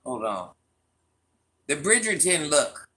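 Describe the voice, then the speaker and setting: A woman's voice: sits low, big pitch swings, slow, a bit bright. A woman hosting a casual livestream, reading viewer comments aloud and teasing them by name with a laugh. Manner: calls herself Granny.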